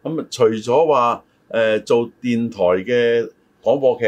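A man's voice: medium pitch, steady tone, unhurried, highs cut off. An older man, hosting a casual conversation in an explanatory way, readily interjecting. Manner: explanatory, often interjects to correct his partner. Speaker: talk show host.

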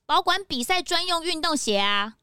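Excitable, high-pitched child sidekick. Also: female voice, normal speed, dry recording, studio quality, heavily acted. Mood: excited. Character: eager young child detective sidekick, earnest and excitable. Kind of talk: children's audio drama acting.

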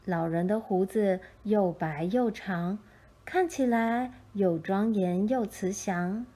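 A woman narrating a children's story from a script in a gentle, expressive storytelling manner. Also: mid-range voice, takes it slowly.

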